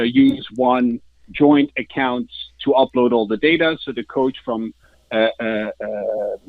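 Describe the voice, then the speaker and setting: Male guest, Dutch accent, halting delivery pitching a product in an interview; dull, band-limited call sound with little room. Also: no room sound. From Netherlands. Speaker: sales director, interview guest.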